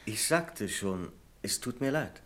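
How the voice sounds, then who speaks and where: A man's voice: on the low side, animated, slow pace, a bit bright. An actor playing a polite, placating male office employee in a German radio drama. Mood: apologetic. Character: courteous, apologetic male office colleague, late for work.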